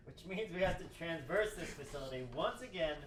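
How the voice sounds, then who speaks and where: A man's voice: a little high, animated, a little fast, lightly acted. A man playing a sardonic, exasperated, skeptical scientist in an amateur radio play table read. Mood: exasperated, resolute. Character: exasperated, skeptical, foul-mouthed male robotics scientist. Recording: roomy, distant mic with clear noise.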